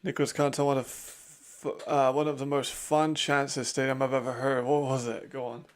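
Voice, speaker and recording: A man hosting a watch-along, giving casual live commentary with a raspy voice, chatty and laughing often. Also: mid-range voice, flat delivery, moderate pace. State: hay fever.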